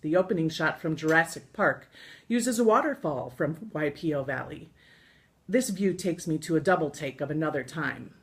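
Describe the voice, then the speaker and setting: An American woman reading her own scripted travel memoir aloud in an expressive storytelling manner, with deliberate pauses. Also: sits low. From United States. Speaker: author reading from own book.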